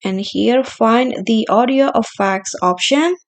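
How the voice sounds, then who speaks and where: A woman narrating a software tutorial as its presenter, explaining simply step by step in a somewhat halting way. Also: a little high.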